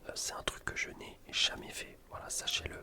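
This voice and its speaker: A man commentating on gameplay in a breathy, unvoiced ASMR whisper, informal and explanatory. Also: a little fast. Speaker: gaming video creator. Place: voice-over recorded separately from gameplay.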